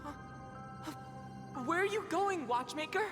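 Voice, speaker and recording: Young worried game character, high pleading voice, lots of reverb, music behind. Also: female voice, animated, slow, heavily acted. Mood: anxious pleading. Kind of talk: scripted game drama.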